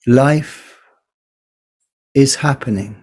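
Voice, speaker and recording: Male meditation teacher, low-key body scan guide; very slow pace, gentle delivery, leaves space between phrases. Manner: gentle, unhurried, pausing between short phrases.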